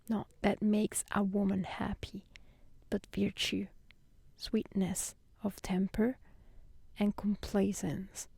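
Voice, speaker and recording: A woman voicing a gentle, remorseful young fairy-tale heroine in a soft, hushed storybook reading. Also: medium pitch, creaky, husky, no room sound, heavily acted. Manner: soft, hushed, whispery delivery.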